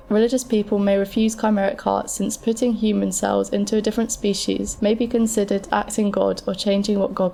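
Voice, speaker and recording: Casting: actress as composed, frank scientist advisor, dark soft tone with gravelly fry, scripted explanatory delivery, amateur audio drama. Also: female voice, mid-range voice, slight background noise, lightly acted. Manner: measured, matter-of-fact, explanatory. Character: frank, calm futuristic transplant scientist advising a patient.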